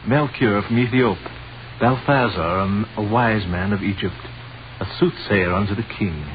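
A man's voice: on the low side, moderate pace, natural voice. An actor playing a devout Greek wise man in a 1930s radio drama, declaiming solemnly in archaic biblical speech. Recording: muffled, noisy 1930s radio recording.

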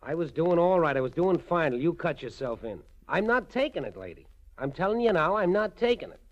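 An actor playing a tough, hot-headed racketeer in a 1940s radio drama, talking in blunt, streetwise slang. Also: male voice, fairly high pitch, very animated, brisk. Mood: resentful, menacing. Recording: muffled 1940s radio broadcast recording.

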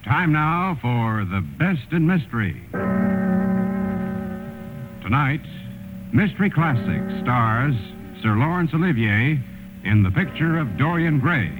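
A man's voice: mid-range voice, sing-song, slow pace, pauses often. A man announcing a programme in dramatic 1950s radio style. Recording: tinny old radio recording. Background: music.

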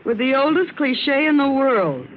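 A woman's voice: sits high, big pitch swings, measured pace, a little hiss or hum. An actress playing a bitter, vengeful woman among smugglers, emphatic and dramatic in an old radio drama. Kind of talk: 1950s radio drama acting. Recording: tinny old radio broadcast.

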